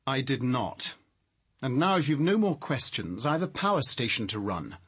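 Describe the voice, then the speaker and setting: An actor playing a prickly, sardonic scientist in a radio crime drama, speaking in a dry, articulate way. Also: male voice, medium pitch, very animated, moderate pace, fairly bright tone, slightly rough. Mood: weary, dismissive. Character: prickly, sardonic male power station scientist.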